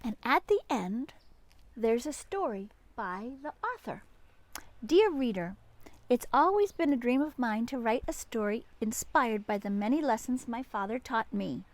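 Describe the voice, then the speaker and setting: A woman reading a picture book aloud to young children in an expressive way, pausing to talk to her listeners. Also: on the high side. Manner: animated, pauses to address the young listeners.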